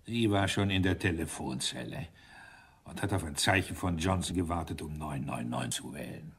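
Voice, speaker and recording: A man's voice: low-pitched, normal speed, fairly bright tone, breathy edge, exaggerated voice. A man playing an irritable British police inspector in a German radio crime drama, dry and commanding. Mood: explaining.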